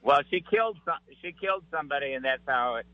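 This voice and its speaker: A man's voice: a little high, animated, quick pace, no echo. A male caller with a mid-range voice, casually retelling a news story he just caught. His voice comes over a muffled, dry phone-in line.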